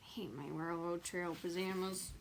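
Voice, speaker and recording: A woman voicing a sulky young boy from a storybook, complaining in a whiny, indignant, drawn-out way. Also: fairly low pitch, fairly even intonation, creaky, a little hiss or hum, pitched below the performer's own voice, rough recording. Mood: disgusted, whiny. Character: grumpy, sulky young boy complaining about his bad day.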